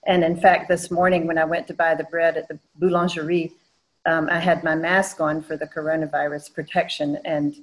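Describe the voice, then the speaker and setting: A woman in her sixties from Georgia with a Southern accent, giving a lecture over a video call from her home studio and pausing often with "um". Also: sits low, level tone, low-quality recording. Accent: Southern American, Georgia. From Georgia, USA. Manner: slow, measured, with frequent "um" pauses. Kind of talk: online lecture. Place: home studio wall hung with paintings, indoors. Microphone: video call webcam.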